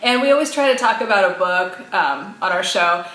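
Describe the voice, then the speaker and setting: A woman's voice: medium pitch, animated, moderate pace, bright, forceful tone, echoey room, poor audio. A woman co-hosting a casual talk show, enthusiastic and chatty, filling her speech with "like". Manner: enthusiastic, chatty, heavy use of "like". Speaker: co-host. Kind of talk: casual chat.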